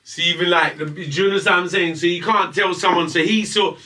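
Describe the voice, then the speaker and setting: A man in casual chat, animated and laughing, talking about his songs and band. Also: high voice, quick pace, far from the mic. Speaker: musician guest.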